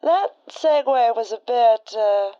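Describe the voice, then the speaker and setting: A woman's voice: on the high side, expressive, slow pace, dark tone, smooth, no echo, muffled recording. A woman playing a folksy, friendly radio co-host in an audio drama, with homespun phrasing. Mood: hesitant.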